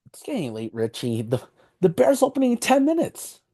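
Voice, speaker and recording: Affectionate, excitable overgrown kid of a pal, male actor, raspy voice, very animated intonation. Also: sits high, a little fast, dry recording, clean studio sound, exaggerated voice. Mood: anxious. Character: big childlike, needy, affectionate goofball best friend. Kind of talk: table read acting.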